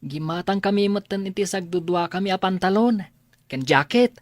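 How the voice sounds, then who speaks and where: A woman's voice: mid-range voice, moderate pace, no room sound, close to an everyday voice. Woman as a polite, eager young pupil. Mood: respectful, glad. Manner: respectful, eager. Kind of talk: Ilocano radio drama acting.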